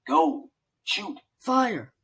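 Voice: mid-range voice, very animated, very slow, a bit bright, slightly rough, voice pushed up, exaggerated voice. A voice actor playing a two-headed male soldier robot whose heads echo each other. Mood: gung-ho.

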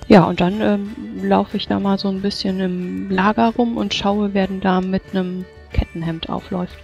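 A woman's voice: mid-range voice, flat delivery, moderate pace, gravelly, darkish tone. A woman playing a tabletop role-playing game, describing her moves in casual game talk. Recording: dull microphone, background music.

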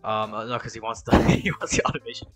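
A young man hosting a casual gaming session with a friend, excitable and exclaiming loudly, on a headset mic. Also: on the low side, fast talker, soft background music. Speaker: Let's Play host.

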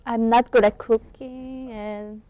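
Slow, smooth-voiced young Korean woman. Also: a little high, steady tone, no room sound. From South Korea. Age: young adult. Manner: halting, simple phrasing with frequent soft laughs. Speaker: student guest. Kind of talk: studio interview. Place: small college TV studio. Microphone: lapel mic.

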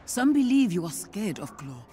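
A woman's voice: medium pitch, sing-song, normal speed, mellow, soft background music, lightly acted. An actress playing a stern female royal guard general in a video game, formal, blunt and restrained. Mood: blunt, grave. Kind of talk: video game cutscene acting.

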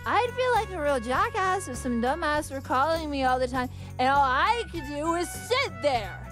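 A brash, drunk young woman acted out by a woman scolds in a high voice, blunt and scornful. It is a visual novel character, with music playing clearly behind. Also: very animated, normal speed. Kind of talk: dramatic visual novel read-aloud.

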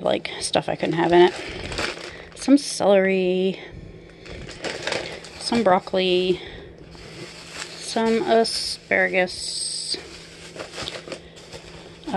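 A woman in her thirties chatting casually as she walks through her groceries in her kitchen at home, with plenty of ums and quick self-corrections. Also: medium pitch, a little mellow, a little hiss or hum. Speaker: vlogger and mother. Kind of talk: casual grocery haul walkthrough.

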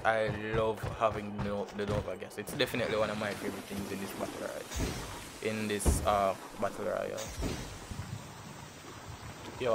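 Laid-back Jamaican man, low voice. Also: background noise. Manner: laid-back and rambling, with many fillers and repeats. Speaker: gaming channel host. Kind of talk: casual gameplay commentary.

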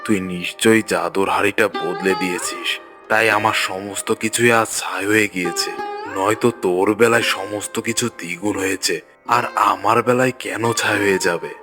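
A man's voice: fairly low pitch, fairly even intonation, moderate pace, natural voice. A man voicing a greedy, domineering village landlord in a cartoon fairy tale, with a bossy, pompous manner. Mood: distraught, accusing. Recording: music behind the voice. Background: music.

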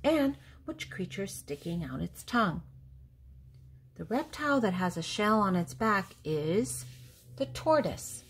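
An older woman reading a picture book aloud to young children in a deliberate, teacherly way, posing questions and answering them, with pauses between words. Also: medium pitch, very animated, husky.

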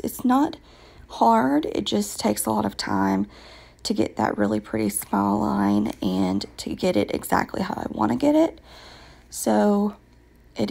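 A woman with a raspy voice from a recent illness, patiently explaining her nail work step by step with frequent ums. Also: medium pitch, mellow. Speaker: nail tutorial vlogger. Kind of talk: casual step-by-step nail tutorial voice-over.

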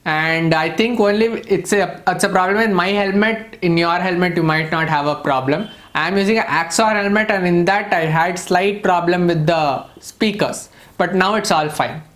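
A man in his twenties with an Indian English accent and a high voice for a male speaker. He talks casually as a product reviewer, explaining things informally and haltingly, often circling back over a point. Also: fairly bright tone. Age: 20s. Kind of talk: casual to-camera gadget review.

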